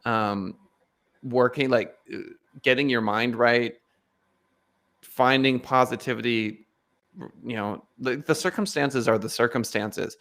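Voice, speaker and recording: A man's voice: medium pitch, unhurried, pauses often, fairly bright tone. A male podcast host chatting with a guest in an enthusiastic, encouraging way, with many fillers. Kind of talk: casual interview chat.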